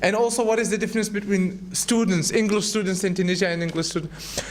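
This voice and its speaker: A young man teaching English answers his students' questions in an animated way, often repeating a word before going on. His voice sits high for a man. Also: fast talker. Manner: animated, repeats words while thinking.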